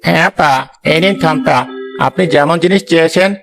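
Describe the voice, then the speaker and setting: A man's voice: on the high side, fairly even intonation, a little fast, creaky, music in the background. A man voicing a courteous, trusting delivery man in a comedy cartoon. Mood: polite, discreet. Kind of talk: Bengali cartoon comedy acting.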